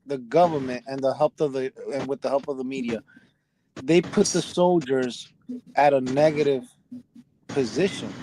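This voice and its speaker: A man's voice: medium pitch, normal speed, dark tone, slight background noise, poor audio. A middle-aged man telling a rambling personal story in casual conversation, often restarting his sentences. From United States. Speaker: podcast host.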